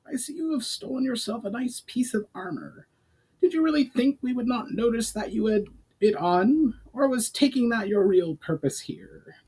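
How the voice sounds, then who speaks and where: A man voicing a gruff, growling goblin war chief in a fantasy storybook, blunt but respectful. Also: very high voice, lively intonation, moderate pace, a little mellow, pitched above the performer's own voice, exaggerated voice, low-quality recording. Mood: accusing. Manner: growling, grunting delivery.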